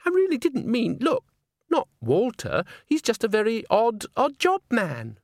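A man's voice: very high-pitched, huge pitch swings, measured pace, vocal fry, darkish tone, no echo, clean studio sound, voice pushed up, full character voice. A man performs a silly, airy young woman from a storybook. The voice sits well above his own and lilts condescendingly, breezy and dismissive. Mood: flustered.

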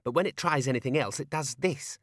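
A man's voice: medium pitch, a little fast, a bit bright, no room sound, studio quality, voice pushed up, natural voice. A man voicing an earnest, fussy young male wizard scholar who talks in a precise, weary, correcting way.

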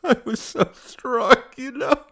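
A man's voice: on the high side, moderate pace, creaky, husky, pitched above the performer's own voice. A man voicing a giddy, overwrought young woman in an audiobook, theatrical, breathless and stammering, with a proud, tearful edge and brittle laughter. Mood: tearful, laughing. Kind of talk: audiobook character acting.

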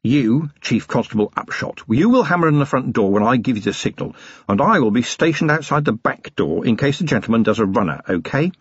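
A man voicing a weary, sardonic older police commander with a dry, gruff, commanding manner in an audiobook story. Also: medium pitch, big pitch swings, a little fast, no echo, dull audio. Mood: gruff, impatient. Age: middle-aged.

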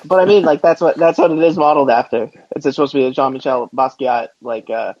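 A man's voice: mid-range voice, fairly even intonation, quick pace, darkish tone, dry recording. A man co-hosting a casual sports podcast with laid-back, teasing banter, on slightly dull, lo-fi audio. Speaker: podcast co-host.

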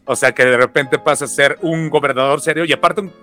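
Brief: Mexican podcast co-host, male, bright forceful tone, slangy and relaxed. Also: on the high side, fairly quick, soft background music. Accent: Mexican Spanish. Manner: relaxed, slangy, many fillers and restarts. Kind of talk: casual podcast chat.

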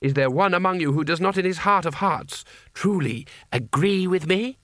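A man's voice: high-pitched, normal speed, a bit bright, no echo, clean studio sound, voice pushed up. A man voicing an imperious, zealous boy wizard in an audiobook fantasy story, declaiming his words like orders. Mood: exhorting. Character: commanding zealous boy wizard leading the mages.

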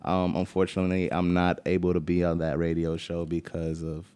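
An eager, talkative man from Puerto Rico sits in as a radio co-host. His voice is very low and smooth, with a clean, resonant tone. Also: completely flat delivery, brisk, a little mellow. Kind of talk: casual radio chat.

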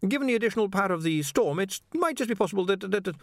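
A man's voice: high-pitched, expressive, very fast, dry recording, pitched above the performer's own voice. A flustered young male wizard, as a male reader puts him on, stumbling over his words in a raised, fretful voice. He sounds nervous and a little out of his depth. The storybook reading is dry and close. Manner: stammering, hesitant.

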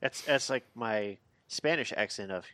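A man's voice: fairly low pitch, a little slow, sharp tone, no echo. A man co-hosting a podcast who chimes in with short jokes and remarks. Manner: joking interjections. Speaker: podcast co-host. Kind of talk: casual chat.

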